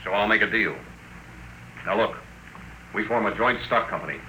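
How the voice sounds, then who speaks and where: An actor playing a shrewd, cocksure space tycoon with a wry, commanding manner in an old-time radio drama. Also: male voice, on the low side, normal speed, pushed and bright, poor audio. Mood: cocky bargaining. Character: shrewd, brash, amused space-drive tycoon, a man. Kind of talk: 1950s radio drama acting. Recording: old radio recording with faint noise.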